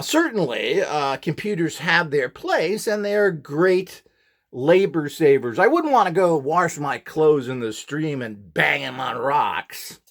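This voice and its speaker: A man's voice: on the high side, vocal fry. A middle-aged man giving an informal, opinionated lecture as an instructor, rambling off the cuff in a blunt, scornful and emphatic way. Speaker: instructor and host of a how-to video series.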